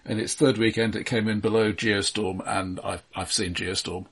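Male podcast co-host, a little low and raspy, halting analytical delivery, casual film talk. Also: steady tone.

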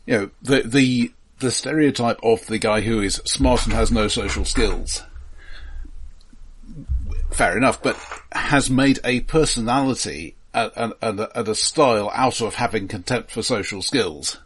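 A man co-hosting an analytical but casual discussion, haltingly repeating words as he thinks. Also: mid-range voice, breathy edge, rough recording. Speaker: film podcast co-host.

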